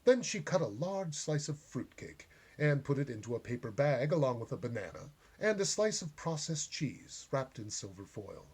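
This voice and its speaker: A man reading a short story aloud as a storyteller, with gentle, deliberate and dramatic phrasing. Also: mid-range voice, sing-song, breathy edge.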